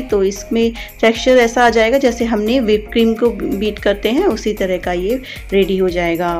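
A woman's voice: medium pitch, a little mellow. A woman giving informal step-by-step instructions as a cooking channel host. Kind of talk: recipe voice-over, step-by-step instruction.

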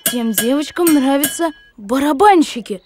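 A woman voicing an eager, headstrong young boy in an animated series, insistent and earnest. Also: high voice, normal speed, background noise.

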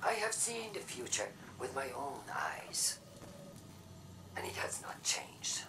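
Echoey, slow, solemn robot demagogue. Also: female voice, a bass voice, heavily acted. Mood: bitter, ominous. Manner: solemn, theatrical, deliberate. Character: proud, fearmongering female robot faction leader addressing a city. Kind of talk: dramatic propaganda speech, game voice acting. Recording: echoey, distant broadcast with music.

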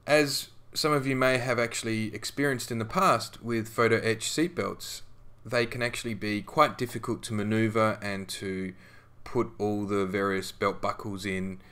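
A man narrating a scale modelling tutorial as a voice-over, relaxed and conversational with frequent ums and restarts. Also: lowish voice, moderate pace, hardly any pauses, smooth.

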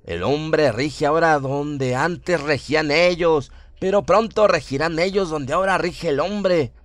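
A man giving a solemn, theatrical narration of a horror radio drama, with deliberate phrasing. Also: on the high side, no room sound. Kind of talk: dramatic scripted horror narration, audiobook-like reading. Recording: music under the voice. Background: atmospheric music bed.

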